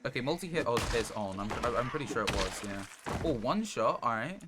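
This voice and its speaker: A man's voice: medium pitch, animated, brisk. A man doing casual live gameplay commentary, thinking aloud in short fragments as he plays a survival game. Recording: clear background noise, clicking.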